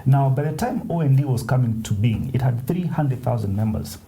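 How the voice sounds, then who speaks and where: A man co-hosting a casual panel talk show, explaining at length with frequent restarts and quick affirmations. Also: medium pitch, lively intonation, crowd noise. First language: not Italian. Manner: halting, many restarts and affirmations. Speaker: co-host.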